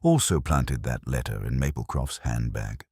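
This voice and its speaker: A man's voice: a bass voice, expressive, normal speed, a little mellow, slightly rough, no room sound, studio quality, pitched below the performer's own voice, heavily acted. A man voicing an eager, restless police sergeant in a mystery story, earnest and full of questions. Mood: eager, speculative.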